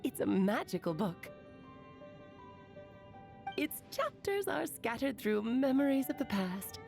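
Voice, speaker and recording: A woman's voice: on the high side, sing-song, slow, vocal fry, darkish tone, soft background music, full character voice. A woman voicing a playful, theatrical witch aunt who teases fondly and laughs between her lines. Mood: knowing.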